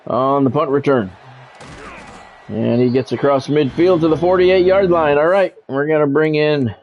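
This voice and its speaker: A man calling live play-by-play of a football video game in measured, short clipped announcer phrases. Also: medium pitch, slight background noise. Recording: dull lo-fi audio with music behind.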